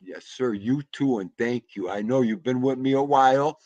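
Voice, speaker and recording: A man's voice: medium pitch, normal speed, a little mellow, dry recording, dull audio. A man hosting a casual livestream chat, greeting viewers and laughing often. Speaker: livestream host.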